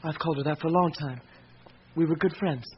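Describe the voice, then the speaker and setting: An actor playing a loyal, guarded fifteen-year-old schoolboy in a radio drama, with a terse, touchy manner. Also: male voice, a little high, fast talker, natural voice. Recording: dull, noisy old radio recording.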